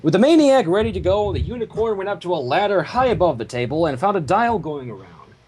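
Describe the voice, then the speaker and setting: A man's voice: high-pitched, very animated, fast, a little mellow, a little hiss or hum. A man reading fan fiction aloud as narrator, animatedly, with casual asides. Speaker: story reader.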